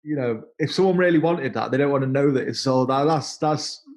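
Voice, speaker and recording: Halting man in his thirties. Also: mid-range voice, a little mellow. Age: 30s. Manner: halting, repeats words and restarts often. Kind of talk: casual interview conversation.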